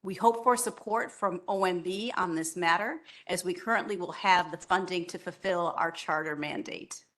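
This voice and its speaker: A woman chairing a public board meeting, running motions and reading a prepared report in a steady, measured way. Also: fairly low pitch. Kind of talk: formal meeting remarks.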